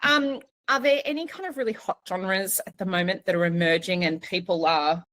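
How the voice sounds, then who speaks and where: A woman's voice: on the low side, a little fast, a bit bright, dry recording, clean studio sound. A woman interviewing guests in a conversational panel style.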